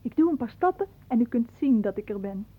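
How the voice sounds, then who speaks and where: An actress playing a polite young woman who gently humours her aunt in a Dutch radio play. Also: a little high, animated, a little fast, husky. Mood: gentle, reassuring. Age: young adult. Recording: muffled phone-like old radio recording.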